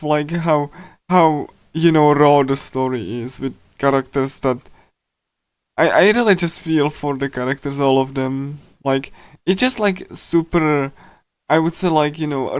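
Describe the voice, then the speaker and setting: A man livestreaming in a casual, rambling chat style, speaking haltingly with lots of filler words. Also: on the high side, smooth, no room sound. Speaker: livestreamer reading a visual novel.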